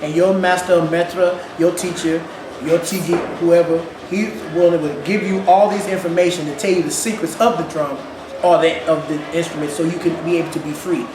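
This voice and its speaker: A man's voice: a little high, fairly quick. A man born in Ghana and raised in St. Louis with a St. Louis accent, a percussionist chatting animatedly as an interview guest. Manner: animated, laughing, repeats words. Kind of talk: casual interview. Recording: echoey room with loud background noise.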